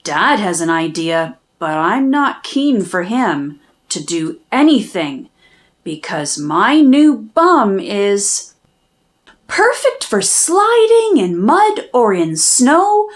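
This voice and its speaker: A woman reading a rhyming children's storybook aloud with expressive, dramatic emphasis. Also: medium pitch, slow pace. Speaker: children's book narrator, channel host.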